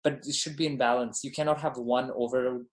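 Podcast guest, male founder raised in Dubai. Medium-pitched voice, casual delivery, earnest and emphatic. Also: fairly even intonation, brisk. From born in Bahrain, grew up in Dubai. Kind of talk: casual conversational interview.

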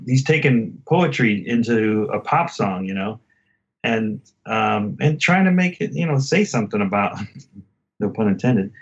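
A man in a casual album discussion as a podcast guest, speaking haltingly and often circling back to rephrase. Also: mid-range voice, muffled recording.

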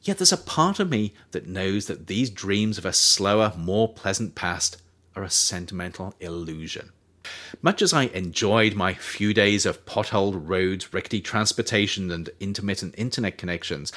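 A middle-aged man reading his own book as a scripted audiobook, in a measured, reflective, formal way. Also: low-pitched, a bit bright.

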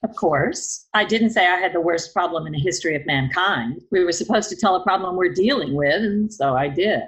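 A woman's voice: lowish voice, a little fast, lightly acted. An actress playing a blunt, self-doubting older woman on a date in a romantic comedy audio play, clipped and accusing. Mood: curt, defensive.

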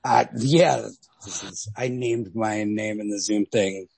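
A man talks books casually as a podcast co-host. His voice is creaky and rough-edged, and he swears freely in an animated manner. The recording is close and dry. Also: fairly low pitch, slow pace, dry recording. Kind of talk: casual literary discussion.

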